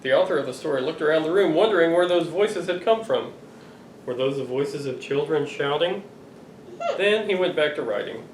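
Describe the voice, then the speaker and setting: A middle-aged man reading a picture book aloud to children, expressive and playfully exasperated. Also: on the high side, moderate pace. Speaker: father reading to his children. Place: living room sofa, indoors. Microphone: camera a few feet away. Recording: roomy, distant home recording with noise.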